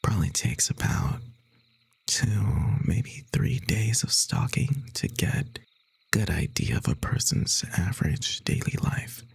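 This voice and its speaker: A man playing a sweetly menacing, obsessive stalker in a comic audio roleplay, soft, breathy and matter-of-fact. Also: low-pitched, sing-song, slow, no room sound, full character voice. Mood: calmly menacing. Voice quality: breathy, whispery.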